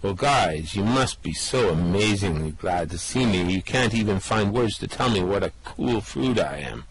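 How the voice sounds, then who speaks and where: A man's voice: fairly low pitch, animated, normal speed, husky, dry recording, low-quality recording. A man voicing a boastful two-headed space adventurer in an amateur radio play reading, swaggering and groaning through a hangover.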